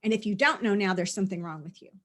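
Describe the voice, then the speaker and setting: A woman's voice: mid-range voice, fast, fairly bright tone. A middle-aged woman, a spiritual teacher, talking earnestly through a release session, often repeating and listing phrases.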